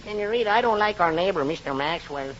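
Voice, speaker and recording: An actor playing a hapless, simple Mexican man with a Mexican accent and broken grammar, deadpan in a 1940s radio comedy. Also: sits high, fast talker. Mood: deadpan complaining. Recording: muffled, noisy old radio recording.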